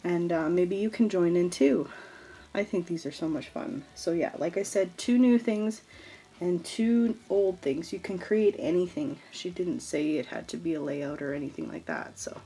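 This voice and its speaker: Chatty stuffed-up woman, low voice. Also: animated, normal speed, mellow, slightly rough, low-quality recording. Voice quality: stuffed-up, nasal. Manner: chatty and rambling, with small laughs and fillers. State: congested from allergies. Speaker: scrapbooking vlogger. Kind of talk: casual craft tutorial chat.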